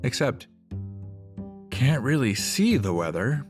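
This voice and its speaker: A man playing a mellow, laid-back, sincere survivor in a radio play. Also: medium pitch, sing-song, slow, soft background music. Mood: easygoing.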